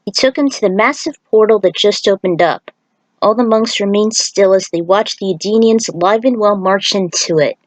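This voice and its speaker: A woman's voice: mid-range voice, moderate pace, no echo, poor audio. Dry-sounding woman narrating a story.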